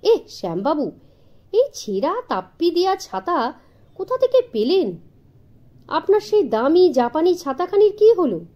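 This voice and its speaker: Lady doing an old servant guy from a storybook, bossy and familiar, high swoopy voice, slightly muffled. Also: very animated, measured pace, pauses often, heavily acted. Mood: teasing surprise. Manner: insistent, familiar, scolding. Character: old male house servant, jovial, pushy, exasperated.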